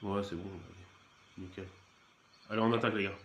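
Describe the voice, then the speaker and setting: A man's voice: low voice, a little fast, echoey room, a little hiss or hum. Stuffy-voiced man with a cold. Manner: relaxed, rambling, many fillers and restarts. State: has a cold. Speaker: gaming channel host. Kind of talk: casual gameplay commentary.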